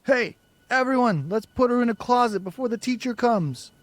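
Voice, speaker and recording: A man's voice: extremely high pitch, animated, moderate pace, dry recording, pitched above the performer's own voice. A man voicing a savage, jeering schoolboy who taunts and barks orders in an audiobook story. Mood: gleefully cruel. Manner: taunting, shouted commands.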